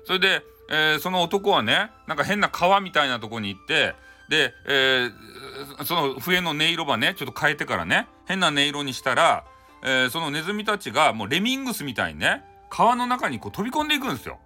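A man's voice: on the high side, sing-song, a little fast, pushed and bright, soft background music. A man with a Hakata accent from Fukuoka retelling a folk tale casually for bedtime, chatty and folksy with frequent asides. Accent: Hakata (Fukuoka) Japanese. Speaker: storyteller, host of audio show.

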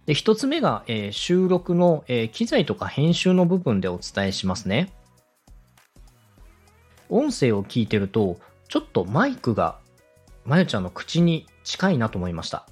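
Male podcast host, husky voice, very varied intonation, polite step-by-step coaching, music underneath. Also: mid-range voice, sing-song, moderate pace, a little mellow. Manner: polite, explanatory, methodical. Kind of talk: structured advice talk for a podcast.

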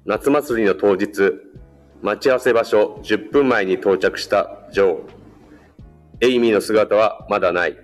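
A man's voice: on the low side, measured pace, faint music behind. A man reading scripted narration for a radio drama.

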